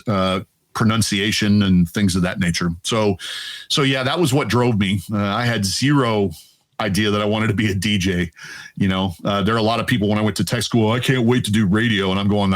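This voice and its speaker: A man in his fifties with a deep, resonant voice that sits on the low side. He tells stories in a relaxed, chatty manner, acts out his own past remarks and laughs easily. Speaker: Air Force veteran guest. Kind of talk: casual storytelling interview.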